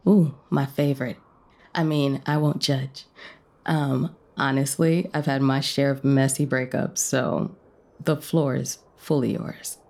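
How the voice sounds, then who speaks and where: A woman's voice: extremely low pitch, fairly even intonation, takes it slowly, gravelly, darkish tone, exaggerated voice. An actress playing a dorky, self-deprecating, chatty woman in a romantic radio play, rambling and teasing. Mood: curious, playful. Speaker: voice actor in a romance audio play. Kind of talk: naturalistic radio play dialogue.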